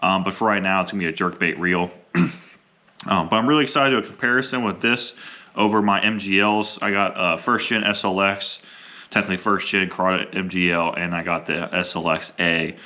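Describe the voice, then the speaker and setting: A young adult man with a slightly hoarse voice from a cold, chatting casually and rambling with many ums while unboxing fishing gear. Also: sits low, a little fast, sharp tone. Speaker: fishing vlogger.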